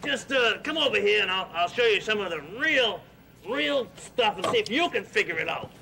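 A man voicing a grumpy, bossy male monster in a children's puppet show, with a gravelly, gruff and dismissive manner. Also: high-pitched, animated, moderate pace, sharp tone, slight background noise, full character voice, poor audio.

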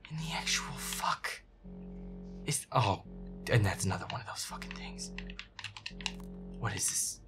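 A man playing a feisty, foul-mouthed boyfriend who mutters exasperatedly while playing a scary video game, often in a breathy whisper. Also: deep voice, wildly swooping pitch, a little slow, pauses often, over music, full character voice. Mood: agitated. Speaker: audio roleplay performer. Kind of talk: casual gaming commentary in boyfriend audio roleplay.

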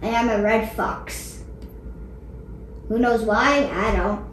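A woman's voice: on the high side, slow pace. A female performer voicing a defiant, boastful red fox puppet, bragging emphatically in a homemade comedy show. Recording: echoey room with loud noise.

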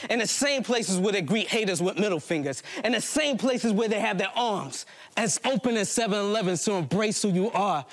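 A man in his thirties delivering theatrical spoken-word poetry on stage, with emphatic rhythm and dramatic repetition. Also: high voice. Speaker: spoken word poet performing a stage play. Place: live stage in a plain hall before a small seated audience.

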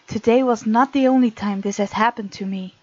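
A woman hosting a let's play, reading game text aloud with playful, expressive asides. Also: fairly high pitch, brisk, no room sound, lo-fi sound. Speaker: gaming video host.

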